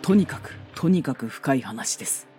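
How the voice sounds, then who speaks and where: A man giving a polite, measured promotional testimonial. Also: medium pitch, expressive, music in the background.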